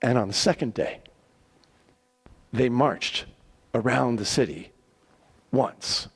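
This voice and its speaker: A man giving a dramatic scripted scripture narration, deliberate and theatrical, with weighty pauses. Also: medium pitch, husky. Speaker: scripture reader. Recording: echoey hall with long reverb.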